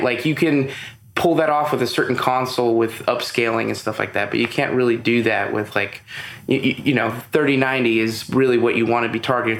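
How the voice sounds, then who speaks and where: A man of middle pitch speaking as a podcast guest, explaining things in a loose, rambling way with plenty of "um"s and "you know"s. His voice carries a noticeable roomy echo from being recorded in the same space as the host. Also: fairly bright tone, a little hiss or hum. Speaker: interview guest, business owner. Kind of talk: casual interview chat. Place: room with audible echo, recorded in person.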